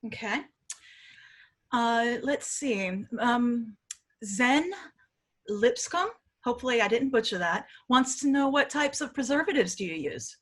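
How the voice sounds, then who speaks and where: A woman moderating a webinar over a video call, reading out audience questions in a brisk, matter-of-fact way. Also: fairly high pitch, animated, a bit bright.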